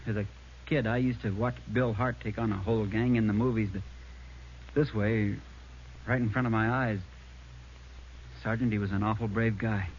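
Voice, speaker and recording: A man's voice: fairly low pitch, steady tone, normal speed, close to an everyday voice. Plainspoken male eyewitness played by an actor, earnest conversational account, muffled vintage radio police drama. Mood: awed, earnest. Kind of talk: 1950s radio drama, conversational witness narration. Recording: dull, noisy old radio recording.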